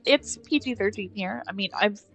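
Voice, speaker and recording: A woman's voice: mid-range voice, normal speed, creaky, a bit bright. Hesitant woman in a voice chat. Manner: hesitant, many "uh"s, dry interjections. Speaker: guest in voice chat. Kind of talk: casual chat.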